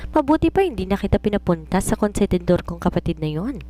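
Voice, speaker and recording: A woman's voice: mid-range voice, very animated, quick pace, dark tone, lightly acted. A woman voicing a doting, nagging widowed mother and businesswoman, mixing Tagalog and English in an affectionate scolding way. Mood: stern, scolding. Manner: Taglish, scolding yet affectionate.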